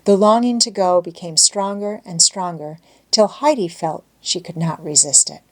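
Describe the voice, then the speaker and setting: Low-pitched woman narrating a storybook. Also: moderate pace. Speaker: audiobook narrator.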